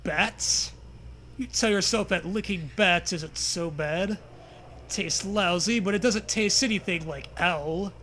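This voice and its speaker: High-voiced man, casual and joking. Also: measured pace, pushed and bright. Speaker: podcast co-host. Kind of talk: casual joking chat and gamebook reading. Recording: faint background noise.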